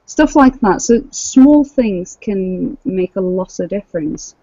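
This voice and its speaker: Woman with a dark, dull-sounding voice. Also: mid-range voice, lively intonation, takes it slowly, dry recording. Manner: explanatory, giving practical tips. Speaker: cosplayer and podcast co-host. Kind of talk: casual podcast chat. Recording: dull lo-fi dry audio.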